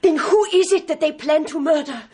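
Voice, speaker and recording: An actress playing a frightened, excitable refugee housemaid in a radio drama, with a Central European accent and stilted English. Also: female voice, sits high, moderate pace, close to an everyday voice. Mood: indignant, alarmed. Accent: foreign, Central European. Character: frightened, melodramatic foreign housemaid, refugee in England.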